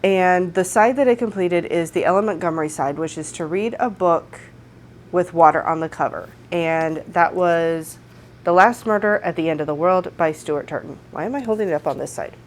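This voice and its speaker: A woman in her thirties, slightly congested from a cold, chatting casually and warmly about books she has read, from her home. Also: on the low side, normal speed, a little hiss or hum. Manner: friendly, chatty, upbeat. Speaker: book vlogger. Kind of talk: casual book wrap-up chat. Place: home room. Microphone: desk microphone.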